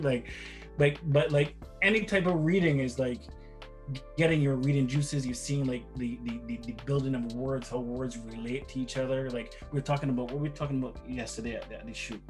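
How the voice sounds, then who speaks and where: A man's voice: medium pitch, steady tone, normal speed, faint music behind. A middle-aged man from Barbados giving casual, rambling interview answers, repeating words and often checking with "right?". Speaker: interview guest, father of the other guest.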